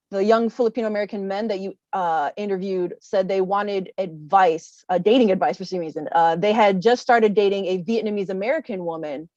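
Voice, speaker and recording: A woman's voice: mid-range voice, mellow, no echo. Woman from Chicago, interviewer, hesitant, casual, muffled dry audio. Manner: casual, hesitant, many "um" and "like" fillers. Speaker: author interviewing a guest. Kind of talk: conversational interview.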